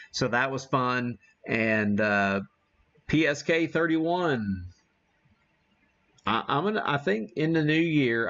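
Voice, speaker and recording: A man giving a casual live demo as an amateur radio streamer, talking haltingly as he thinks aloud while working the gear. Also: medium pitch, a bit bright, dull audio.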